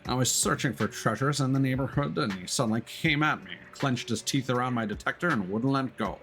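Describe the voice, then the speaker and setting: A man's voice: mid-range voice, brisk, a bit bright, a little hiss or hum, close to an everyday voice. A man voicing a small, courteous and earnest robot creature from a video game, with formal phrasing. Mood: earnest, grateful.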